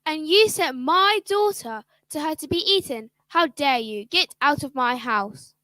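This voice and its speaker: A protective father speaks in a high, bright, sharp voice. He sounds concerned and indignant. The sound is dry and close. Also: animated, moderate pace, dry recording. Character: caring father, protective and quick to anger. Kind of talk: children's radio drama.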